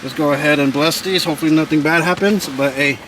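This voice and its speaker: A man giving casual, excited vlog commentary as host of a car audio channel, with an informal, slangy, hyped-up delivery. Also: a little high, fast talker, background noise.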